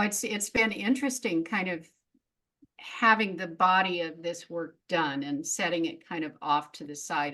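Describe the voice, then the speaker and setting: A middle-aged woman with a fairly low voice talks casually as an interview guest. She is frank and reflective and laughs at herself now and then. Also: a bit bright, poor audio. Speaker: memoirist guest.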